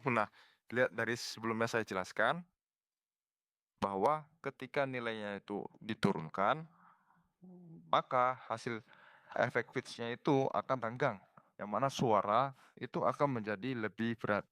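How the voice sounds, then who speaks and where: A young man giving an explanatory slide presentation as a student, somewhat halting as he reads. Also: medium pitch, fairly bright tone, clear, resonant tone, dry recording, clean studio sound. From Indonesia. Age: young adult.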